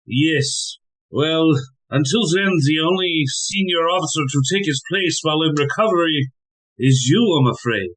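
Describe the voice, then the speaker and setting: An actor playing a deferential, hesitant police officer in a comedic audio play. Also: male voice, fairly high pitch, moderate pace, a bit bright, low-quality recording. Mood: regretful.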